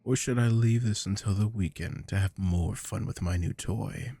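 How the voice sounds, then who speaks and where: Man playing a deep, gravelly, possessive boss. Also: moderate pace, dark tone, no room sound. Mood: smug, coaxing. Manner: commanding, coaxing, low murmurs slipping into whispers. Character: possessive, dominant male boss, smooth and teasing. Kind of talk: intimate ASMR roleplay to the listener.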